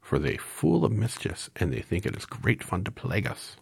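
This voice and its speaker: A man reading aloud and voicing a tiny, squeaky queen of the mice in a storybook. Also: wildly swooping pitch, moderate pace, creaky, breathy edge, no echo, studio quality, heavily acted. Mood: kindly.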